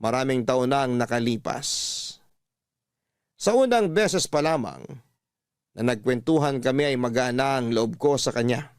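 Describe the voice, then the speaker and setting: A man with a Filipino accent narrating as a radio host, in a scripted, dramatic, measured storytelling style. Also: medium pitch, lively intonation, smooth, no room sound, clean studio sound. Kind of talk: scripted dramatic narration, reading a listener's letter.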